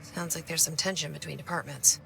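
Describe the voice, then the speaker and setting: A woman's voice: low-pitched, fairly even intonation, fairly quick, vocal fry. An actress playing a guarded, determined young woman with a dry, intense manner in a video game. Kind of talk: video game dialogue and inner monologue.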